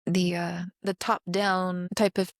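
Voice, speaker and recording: A woman's voice: fairly low pitch, flat delivery, slow, no room sound, clean studio sound. A woman hosting a conversational interview, asking questions with enthusiasm. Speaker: interview host.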